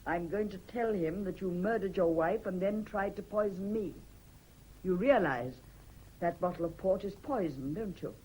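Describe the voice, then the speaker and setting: A woman's voice: mid-range voice, normal speed, mellow, breathy edge, natural voice, poor audio. An actress playing an earnest, determined woman in a fraught love affair, direct and intense, in a radio drama. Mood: cold, accusing. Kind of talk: British radio drama acting.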